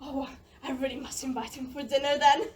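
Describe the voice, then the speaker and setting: A woman's voice: sits high, flat delivery, brisk, echoey room, natural voice. A woman playing a swooning, bossy, competitive village lady in a children's audio play, theatrical and haughty. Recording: distant microphone, background noise.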